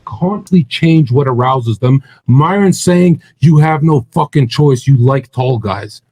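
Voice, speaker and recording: A man's voice: medium pitch, lively intonation, a little slow, a little mellow, breathy edge. A man in a heated podcast debate who talks crudely and brashly and cuts others off.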